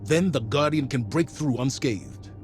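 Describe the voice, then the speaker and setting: An actor playing a resolute military commander in a video game, giving authoritative, clipped orders. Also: male voice, mid-range voice, expressive, unhurried, close to an everyday voice. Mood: steady, focused. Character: resolute male commander leading a fire team. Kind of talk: dramatic video game voice acting. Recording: game dialogue under loud music.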